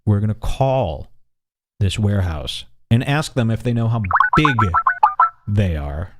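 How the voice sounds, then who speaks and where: A man hosting a comedy radio show, setting up a prank call with mocking commentary and drawn-out emphasis on words. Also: fairly low pitch, very animated, very noisy.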